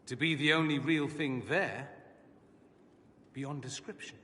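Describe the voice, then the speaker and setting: An actor playing a witty, self-assured mage scholar in a video game, with an arch, sardonic, eloquent way of talking. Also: male voice, on the high side, steady tone, unhurried, pushed and bright. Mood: concerned, urgent. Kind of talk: video game cutscene acting. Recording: long hall reverb.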